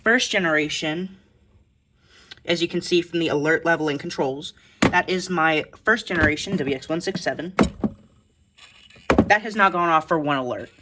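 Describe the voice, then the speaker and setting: A woman's voice: deep voice, normal speed, long pauses, very noisy. A woman giving a casual, blunt, informal show-and-tell tour of a collection as a vlogger. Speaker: vlogger showing a radio collection.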